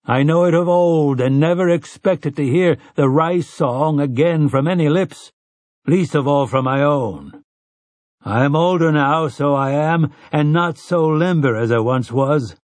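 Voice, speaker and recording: A man voicing a weary, courtly older gunslinger who speaks in old-fashioned formal phrases, with a husky voice. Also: fairly high pitch, a little slow, darkish tone, dry recording, pitched above the performer's own voice, close to an everyday voice. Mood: warm, formal.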